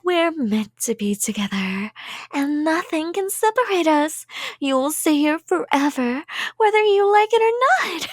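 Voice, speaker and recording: A high, unhurried voice, very close and dry: an obsessed young yandere woman, performed by a voice actress in an ASMR roleplay. She rambles coyly, making threats sound sweet and affectionate. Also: no echo. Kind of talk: intimate ASMR roleplay acting.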